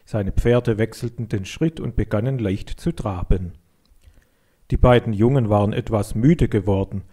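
Mellow male children's story narrator. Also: fairly low pitch, normal speed. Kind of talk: expressive scripted audiobook narration for children.

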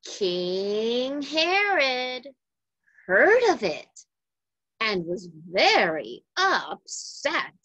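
A woman reading a story aloud to children with deliberate, dramatic phrasing. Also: on the high side, vocal fry, fairly bright tone, husky, no room sound, rough recording. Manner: deliberate, dramatic phrasing with questions to young listeners. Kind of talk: expressive scripted read-aloud for children.

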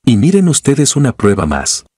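A man's voice: medium pitch, wildly swooping pitch, normal speed, darkish tone, breathy edge, no echo, clean studio sound. A man narrating a mocking commentary voice-over, scornful and sarcastic, with music behind. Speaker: channel narrator.

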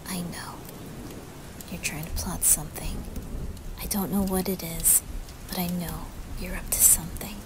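A woman voicing a guarded teenage boy in a soft, breathy ASMR roleplay, speaking in a hushed, halting way. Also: lowish voice, steady tone, background noise, lightly acted. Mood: suspicious. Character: wary, guarded teenage boy who softens into apology. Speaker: roleplay voice performer. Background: rain and thunder.

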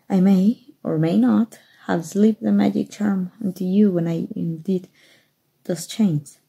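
A woman plays a kind, folksy rebel rescuer in an ASMR roleplay. The voice is very breathy and husky, dark and soft, with a creaky edge. The talk is hushed and coaxing. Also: medium pitch, exaggerated voice, poor audio. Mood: reassuring. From Mexico. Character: kind human rescuer, gruff-talking, reassuring undercover rebel.

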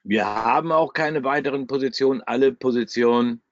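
A man with a German regional dialect hosts a live trading webinar at his computer. He talks bluntly and casually, with coarse jokes and a steady, mid-range voice. The sound is dry and a bit muffled, like an online stream. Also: medium pitch, moderate pace, no echo. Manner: blunt, chatty, salty humor. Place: at a computer, screen shared. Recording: dry, slightly dull webinar audio.

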